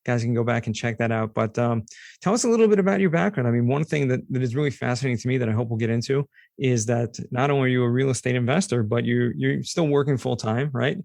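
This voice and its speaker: A man with a slightly hoarse voice, hosting a conversational podcast interview and thinking aloud as he goes. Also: mid-range voice, quick pace, a little mellow, dry recording. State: a little hoarse. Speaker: podcast host.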